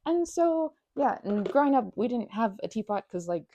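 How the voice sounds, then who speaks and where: Scattered, playful woman with lively, swooping intonation. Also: on the high side, moderate pace, muffled recording. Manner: scattered, rambling, trailing off and changing course mid-thought; bubbly, playful, expressive. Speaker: ASMR roleplay performer. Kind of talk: ASMR roleplay, casual improvised chat with the listener as best friend.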